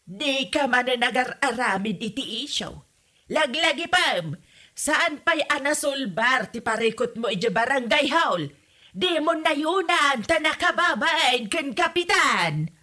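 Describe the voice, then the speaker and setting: A woman acting as a nagging middle-aged mother with a bright, piercing, fairly high voice. She has an Ilocano Filipino accent and scolds and questions like a suspicious parent in a radio comedy drama. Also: lively intonation, unhurried, heavily acted. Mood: scolding.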